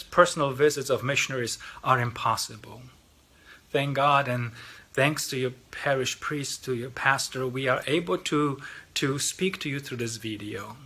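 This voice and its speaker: A man's voice: medium pitch, sharp tone, slightly rough. A man with a Polish accent, speaking for a lay missionary group in an earnest appeal to parishioners, often repeating words as he restarts. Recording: video message.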